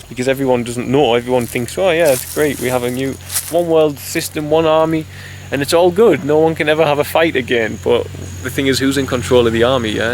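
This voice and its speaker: Man, mid-pitched, preaching urgently to camera in a rambling way, with faint insect noise behind. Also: poor audio. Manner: earnest, urgent, addresses listener directly as "you"; rambling, restarts mid-thought. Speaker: video blogger. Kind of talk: impassioned direct-to-camera monologue.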